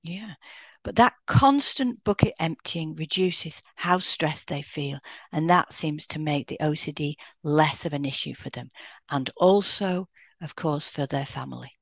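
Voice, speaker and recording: A woman's voice: deep voice, a little slow, vocal fry, no echo. A woman co-hosting an educational podcast, reading scripted explanations in an instructive way. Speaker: podcast co-host, hypnotherapist. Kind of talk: scripted educational podcast read aloud in turns.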